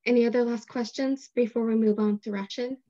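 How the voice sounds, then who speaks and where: A woman's voice: a little high, steady tone, fairly quick, mellow, muffled recording. A woman answering questions about sign language on a video call.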